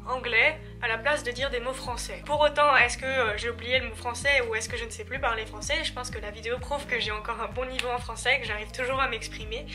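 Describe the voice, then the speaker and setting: An eighteen-year-old woman from Belgium talks casually about her exchange year, stopping often on fillers and restarting her sentences. Her voice sits a little high. Music plays clearly under her words. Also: pushed and bright. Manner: hesitant, many fillers and restarts. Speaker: exchange student. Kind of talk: casual vlog talk to camera.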